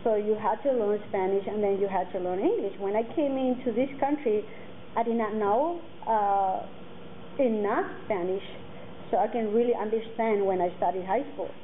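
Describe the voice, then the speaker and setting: A woman in her forties, a native Mixtec speaker, speaking earnestly to students and halting often with fillers and restarts. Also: medium pitch. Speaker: guest speaker. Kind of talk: personal talk to students. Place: classroom with curtains, indoors. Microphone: table mic on a stand. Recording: tinny phone-like sound, faint noise.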